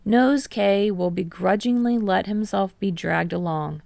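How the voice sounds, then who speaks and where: A woman's voice: medium pitch, unhurried, gravelly, a little mellow, no room sound. A woman reading scripted fiction as an audiobook narrator.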